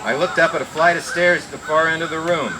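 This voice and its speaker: A man playing a world-weary, wisecracking private detective in radio noir style, reading a little haltingly. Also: on the high side, music in the background, close to an everyday voice, poor audio. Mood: brooding narration. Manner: halting read with stumbles and wry asides. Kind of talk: 1940s-style radio noir acting and narration.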